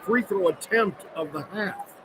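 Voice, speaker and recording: A man with a high-pitched voice calls a basketball game live on the radio. His phrases come short and urgent, following every move. A loud, noisy arena crowd surrounds him. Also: unhurried. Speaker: radio play-by-play announcer. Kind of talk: live basketball play-by-play commentary. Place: courtside in a large indoor arena.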